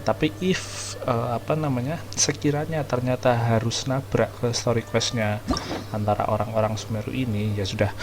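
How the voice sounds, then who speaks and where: A man's voice: medium pitch, fairly even intonation, a little fast, noisy background. A young man with an Indonesian accent giving casual, chatty gameplay commentary, thinking aloud as a streamer. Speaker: gaming streamer. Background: game music and dialogue.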